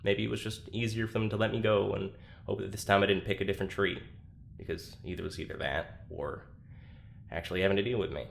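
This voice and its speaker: Troubled young man, low halting voice. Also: steady tone, gravelly, a little hiss or hum. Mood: weary, withdrawn. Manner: halting, self-deprecating, with dry sarcasm. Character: troubled, sardonic, anxious young man confiding alone. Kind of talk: confessional audio drama monologue.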